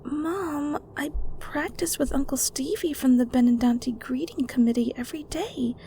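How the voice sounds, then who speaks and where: A woman's voice: high voice, moderate pace, dark tone, a little hiss or hum. A woman playing a meek, nervous young adult daughter in an audio roleplay, hesitant, obedient and defensive. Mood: apologetic, defensive.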